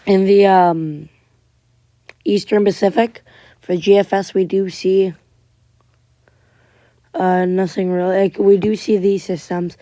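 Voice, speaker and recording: A woman giving a casual tropical weather update, her voice going hoarse as she keeps clearing her throat and restarting her sentences. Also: mid-range voice, darkish tone, no echo. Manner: halting, many restarts and fillers. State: losing voice, frequent throat clearing. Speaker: amateur weather forecaster.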